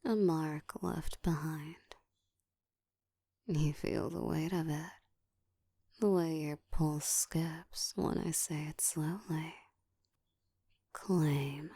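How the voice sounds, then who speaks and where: Woman acting as an ancient vampire lady, super slow low breathy whisper, bossy and seductive, close asmr. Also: fairly even intonation, mellow, no room sound, heavily acted. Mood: seductive, possessive. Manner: slow, deliberate, teasing, commanding. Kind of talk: intimate ASMR roleplay monologue. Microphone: close microphone.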